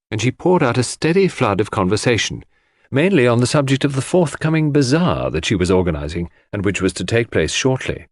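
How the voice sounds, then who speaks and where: Expressive male audiobook narrator, dry studio sound. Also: mid-range voice, no echo. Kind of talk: scripted dramatic narration of a classic mystery.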